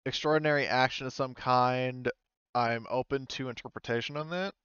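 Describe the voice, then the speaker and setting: A man's voice: medium pitch, steady tone, normal speed, fairly bright tone, clear, resonant tone, dry recording, muffled recording. A man talking casually as game master of a tabletop game, easygoing and prone to swearing.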